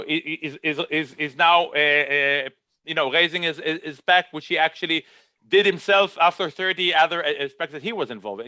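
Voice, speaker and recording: A man's voice: a little high, pushed and bright, no room sound. A man moderating a casual online panel, chatty, with many restarts and fillers.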